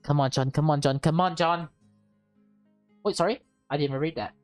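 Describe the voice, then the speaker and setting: A woman's voice: low-pitched, fairly quick, darkish tone, soft background music. A woman reading a visual novel aloud with casual reactions, stumbling over lines and rereading them. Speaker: game player and streamer.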